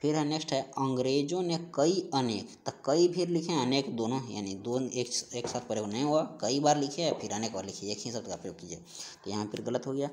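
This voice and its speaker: A man's voice: medium pitch, fairly quick, darkish tone, a little hiss or hum. A man teaches in a question-and-answer style, explaining and restating each answer. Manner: repetitive, explanatory, stressing answers by restating them. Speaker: Hindi exam-prep teacher. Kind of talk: question-and-answer grammar lesson.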